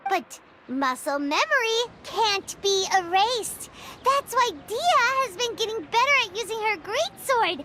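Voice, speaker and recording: Tiny excitable fairy sidekick for a game, piercingly high and animated, childlike, easily flustered. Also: female voice, a little slow, very noisy, exaggerated voice. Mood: excited realization. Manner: exclaims, speaks of self in third person. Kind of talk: video game voice acting.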